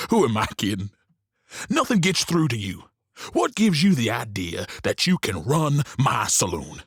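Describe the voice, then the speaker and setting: An actor playing a world-weary, goading saloon owner in a western audio drama, with a folksy, speechifying manner. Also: male voice, mid-range voice, very animated, slow pace, long pauses, fairly bright tone, breathy edge, no echo, studio quality, full character voice. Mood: incredulous, mocking.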